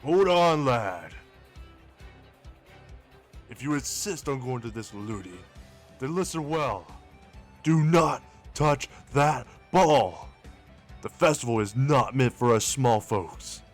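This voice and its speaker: Man as a wary, medium-pitched apple merchant. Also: expressive, faint music behind. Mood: warning. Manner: measured, archaic storybook phrasing. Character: wary, world-weary male apple merchant, gruffly cautioning. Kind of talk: manga dub acting.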